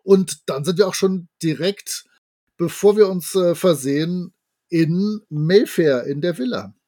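A man's voice: sits high, unhurried, mellow. A man, co-hosting a podcast and casually retelling a story with his own comments. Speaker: podcast co-host. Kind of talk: casual discussion of an audio drama, retelling and commenting.

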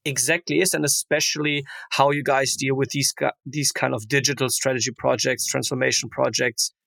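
A man's voice: mid-range voice, little pitch movement, brisk, creaky, a bit bright, no echo. A man hosting a podcast, asking long enthusiastic questions full of fillers and restarts. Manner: enthusiastic, rambling, many fillers. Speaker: podcast host. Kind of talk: conversational interview questions.

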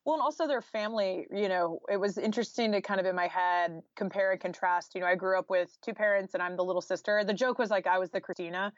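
A woman's voice: mid-range voice, steady tone, fast talker, few pauses, no room sound, lo-fi sound. An American woman talking informally and candidly as a guest author in a podcast interview, peppering her speech with "like" and "you know.".

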